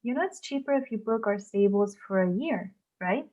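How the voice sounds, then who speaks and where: A woman playing a kind, tactful stable worker in a screenplay table read, speaking gently and carefully. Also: medium pitch, expressive, normal speed, natural voice. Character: kind, tactful woman working at an equestrian center. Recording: dull, lo-fi sound.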